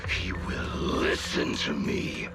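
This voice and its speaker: An actor playing a brutal, arrogant killer in a video game, menacing and hot-tempered, with a gravelly voice. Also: male voice, slow pace, natural voice. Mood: contemptuous, demanding. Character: brutal, arrogant male killer turned cornered henchman. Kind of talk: dramatic video game voice acting. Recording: loud music under the voice. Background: loud music.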